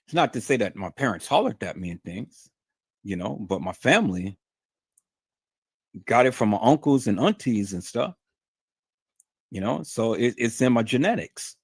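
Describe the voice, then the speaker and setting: A man's voice: mid-range voice, big pitch swings, normal speed, long pauses, breathy edge, no echo, studio quality. A man hosting a livestream, talking in a stern, emphatic, lecturing way to his viewers. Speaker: livestream host. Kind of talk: unscripted livestream monologue.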